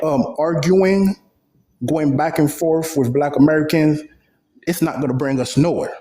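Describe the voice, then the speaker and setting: A man's voice: a little high, mellow. A man from Haiti on a panel call, making long earnest points in a debate. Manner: earnest, speaks at length in long run-on points. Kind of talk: impassioned debate. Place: at work. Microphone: headphones on a phone call.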